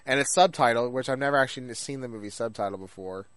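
A man's voice: medium pitch, fast talker, a bit bright, no room sound. A man chats casually as a podcast co-host, his voice slightly toward the middle of the male range. He rambles through his thoughts and quotes remembered remarks in a conversational way. The sound is dry, with very little room. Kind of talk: casual chat about anime.

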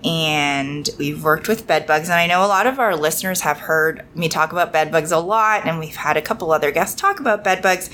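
A woman's voice: fairly low pitch, fairly bright tone, slight background noise. A woman hosting a podcast, chatty and enthusiastic, asking her guest many questions. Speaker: podcast host. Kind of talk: casual interview talk. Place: at a conference.

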